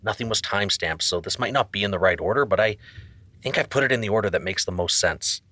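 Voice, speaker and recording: Uneasy everyman narrator for audio mystery; low, bright-edged delivery, husky, confiding. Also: fast, no echo. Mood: puzzled, earnest. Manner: hesitant, confiding. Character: anxious, overwhelmed everyman narrator of a conspiracy mystery. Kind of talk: confiding fiction podcast narration.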